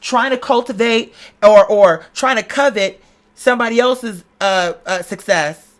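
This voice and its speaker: A woman's voice: on the high side, measured pace. A woman vlogger delivering an informal motivational monologue, conversational and slangy, with emphatic, preacher-like repetition. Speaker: online video creator giving a pep talk.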